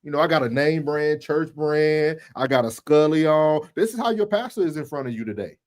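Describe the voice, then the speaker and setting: A man co-hosting a livestream, giving casual, animated commentary and addressing his viewers directly. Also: a little high, fairly quick. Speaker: livestream co-host. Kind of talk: casual talk commentary on church.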